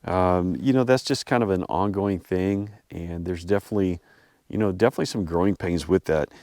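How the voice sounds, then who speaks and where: A man hosting a vlog outdoors, a bit congested and hoarse from a cold, rambling casually with lots of fillers. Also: low-pitched, fairly quick, a little mellow, no echo, studio quality. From US Pacific Northwest. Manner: rambling, many fillers and restarts. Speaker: vlog host reviewing his truck. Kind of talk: casual talk to camera. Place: outdoors at a snowy off-road area.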